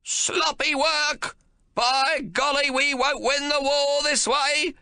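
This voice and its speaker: A man voicing an irascible, half-blind very old man who shouts bluntly. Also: sits high, sing-song, slow pace, dry recording, studio quality, pitched above the performer's own voice, full character voice. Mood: irate.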